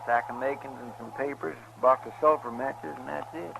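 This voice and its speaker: Noisy vintage radio, man as loyal drawling deputy, gravelly, deferential, folksy. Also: medium pitch, fairly even intonation, normal speed, close to an everyday voice. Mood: sober, earnest. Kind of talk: old-time radio western acting. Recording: noisy old radio recording.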